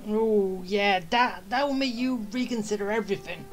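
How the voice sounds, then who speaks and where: A woman's voice: medium pitch, moderate pace, faint music behind. A woman game streamer giving casual, offhand, joking reactions over gameplay.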